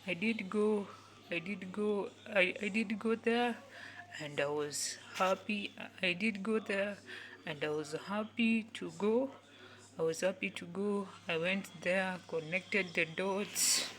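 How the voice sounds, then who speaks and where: A young woman in her twenties speaks slowly and earnestly, saying each phrase again and again as if pleading with her listeners. Her voice sits in a medium range, and now and then it sinks to a hushed whisper. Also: medium pitch. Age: 20s. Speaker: youth speaker. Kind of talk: repetitive motivational talk.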